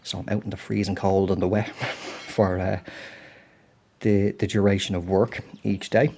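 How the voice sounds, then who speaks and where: A man's voice: low voice, flat delivery, measured pace, vocal fry, darkish tone, a little hiss or hum. A British man hosting a golf video game playthrough, chatty and thinking aloud, sounding a little throaty and stuffed up from a cold. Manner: chatty, apologetic, thinking aloud while playing. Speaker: gaming channel host. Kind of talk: relaxed golf game commentary.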